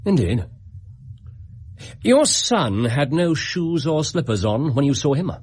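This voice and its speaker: An English actor playing a shrewd, commanding Victorian detective in a radio drama, with formal, precise, probing questions. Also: male voice, fairly high pitch, sing-song, takes it slowly, a little mellow, husky, exaggerated voice. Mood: brisk, investigative. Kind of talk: British radio drama acting.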